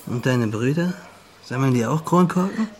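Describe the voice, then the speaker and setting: An actor playing a streetwise, bossy young petty crook in a German radio crime drama, talking in brusque, needling slang. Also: male voice, medium pitch, expressive, moderate pace, a little mellow, close to an everyday voice. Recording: music behind the voice.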